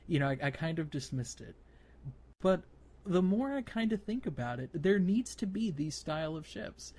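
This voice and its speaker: A man hosting a casual gaming podcast, talking in a halting way as he thinks aloud. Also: fairly high pitch, big pitch swings, a little mellow. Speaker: podcast host.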